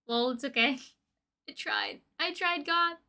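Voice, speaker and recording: A young woman hosting a livestream, chatting casually with viewers in a playful, slangy, expressive way. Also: high voice, unhurried. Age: young adult. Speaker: livestream host. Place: indoor room with desk and shelves of collectibles.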